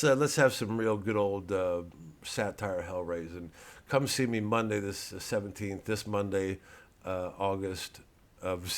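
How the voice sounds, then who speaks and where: A man giving a rambling, casual solo monologue as a comedian plugging his work, irreverent, digressive and profane. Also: lowish voice. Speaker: comedian promoting his show and shop.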